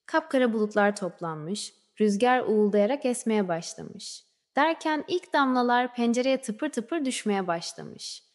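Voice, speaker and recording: A woman narrating a scripted children's fairy tale in a measured storybook way, letting phrases trail off softly. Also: fairly high pitch, expressive. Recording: long reverberant hall-like echo.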